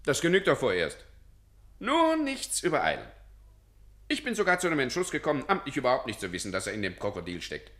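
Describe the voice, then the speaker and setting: Pompous dithering government director, old radio drama style, voice swoops all over, bright and sharp. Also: male voice, medium pitch, wildly swooping pitch. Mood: cautious, evasive. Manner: pompous, dithering, officious, drawn-out phrasing. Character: self-important, evasive senior Russian civil servant, a director. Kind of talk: German radio play acting.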